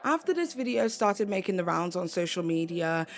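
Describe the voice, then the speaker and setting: A young woman, hoarse, earnestly delivering an opinion commentary vlog and repeating phrases for emphasis. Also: lowish voice, sing-song, normal speed, a loud crowd, very noisy. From United Kingdom. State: hoarse, voice going. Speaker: commentary YouTuber.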